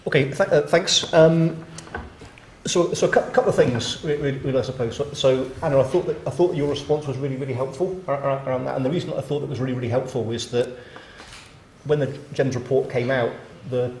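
Halting man panelist in an echoey hall. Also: medium pitch, a little mellow. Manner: halting, repeats words. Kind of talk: panel discussion answer. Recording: echoey hall, faint background noise.

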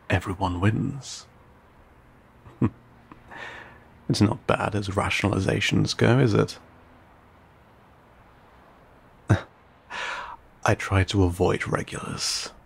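A man playing an ancient, courteous, guarded vampire in an intimate audio roleplay, speaking in formal, old-fashioned, measured phrases with some hesitation. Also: flat delivery, full character voice. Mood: wry, musing. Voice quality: low, gravelly, breathy.

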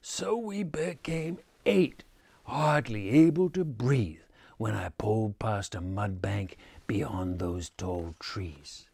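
An older man reading a children's picture book aloud with a theatrical, drawn-out delivery. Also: on the low side, creaky, a little mellow, breathy edge, dry recording. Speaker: storybook reader. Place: small furnished room indoors.

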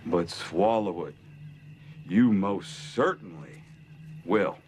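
An actor with an American accent playing a smug, cruel middle-aged gang leader in a TV drama, drawling and mocking with deliberate pauses. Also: male voice, sits low, a little mellow. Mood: smug, threatening. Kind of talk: television drama villain monologue. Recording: TV drama clip with noticeable background noise.

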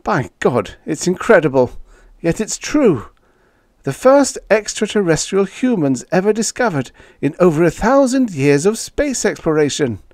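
A man voicing an excited male space explorer in a science fiction story, loud and exclamatory. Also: sits high, a little slow, no echo, clean studio sound, pitched above the performer's own voice.

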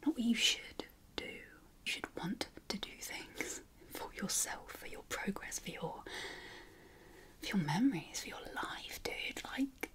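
Woman, British accent, breathy whisper, ASMR affirmations, encouraging, affectionate, informal. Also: mid-range voice, a bit bright. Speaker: ASMR host.

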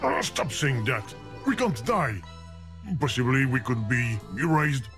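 A man's voice: mid-range voice, sing-song, measured pace, over music. An actor voicing an exasperated male game character pleading with the player.

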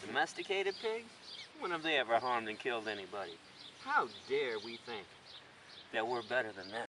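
Emphatic American man outdoors with clear background noise. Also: medium pitch, lively intonation, normal speed. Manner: emphatic, repetitive, full of rhetorical questions. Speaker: animal rights advocate presenting a farm sanctuary. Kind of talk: informal on-camera commentary. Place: open farm field outdoors. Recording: noisy outdoor farm location sound.

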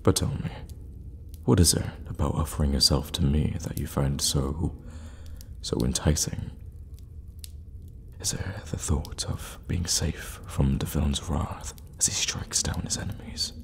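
A man plays an evil warlock in an intimate ASMR fantasy roleplay, menacing and seductive. His very slow, teasing speech keeps sinking into whispers, with halting pauses for effect. The voice rings in long, cave-like reverb. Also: fairly even intonation, exaggerated voice. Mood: seductive, probing. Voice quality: breathy low murmur, often whispering.

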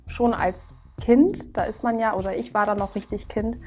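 A woman's voice: medium pitch, steady tone, moderate pace, darkish tone, a little hiss or hum. A woman in her twenties earnestly telling her personal story and explaining things step by step to camera. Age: 20s. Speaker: interviewee. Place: indoor studio set, seated.

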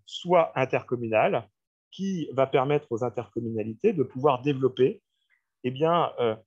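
A man giving a formal, didactic training presentation on a video call, explaining slides and addressing his listeners directly. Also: on the high side. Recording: dull, lo-fi video-call audio.

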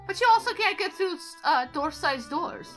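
A woman playing a composed female anime angel mentor, gently teasing and chatty in improvised parody game talk. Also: sits high, sing-song, moderate pace, faint music behind, exaggerated voice. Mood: sympathetic. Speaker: guest co-player on a gaming channel.

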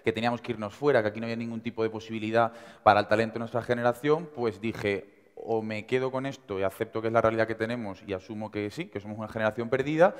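A man in his twenties from Seville with an Andalusian accent, giving an energetic, conversational motivational talk to students in a university auditorium. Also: fairly low pitch, quick pace, clean tone. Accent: Andalusian Spanish. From Seville, Spain. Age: 20s. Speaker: invited speaker. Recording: reverberant hall.

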